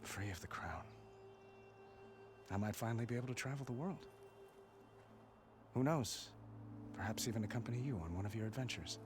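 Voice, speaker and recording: A man's voice: lowish voice, lively intonation, moderate pace, pauses often, music in the background, natural voice. An actor playing a gracious, earnest young king in a video game, speaking formally and courteously. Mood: candid, wistful. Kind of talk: dramatic video game dialogue.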